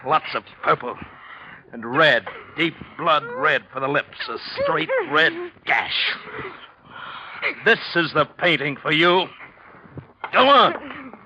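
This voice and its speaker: Tormented volatile artist in old radio thriller, very sharp forceful voice, muffled recording. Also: male voice, fairly high pitch, expressive, slow pace, long pauses, exaggerated voice. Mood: savage fury. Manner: tense, clipped, intense. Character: tormented, volatile painter trapped by blackmailing lover. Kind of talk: 1940s radio crime drama acting. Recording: dull vintage radio recording, audible noise.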